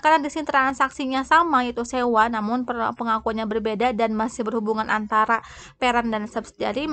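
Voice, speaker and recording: Higher-voiced woman explaining a lesson. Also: a bit bright. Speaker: accounting lecturer. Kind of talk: explanatory lesson narration, semi-formal.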